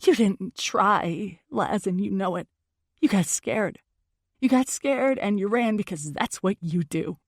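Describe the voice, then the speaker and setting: A woman's voice: medium pitch, animated, measured pace, no echo, studio quality. A woman voicing a heartbroken, wounded and furious young woman in first-person audiobook dialogue, emotional and emphatic. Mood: seething, accusing.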